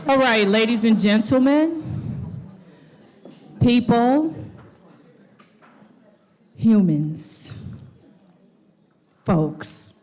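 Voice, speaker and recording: A woman hosting a live indoor event, introducing a segment in a playful, teasing way. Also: medium pitch. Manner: playful, warmly teasing the audience. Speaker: event emcee.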